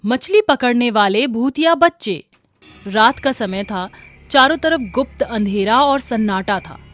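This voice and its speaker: A woman's voice: a little high, expressive, unhurried, a bit bright, faint music behind. A woman giving scripted narration for a cartoon story.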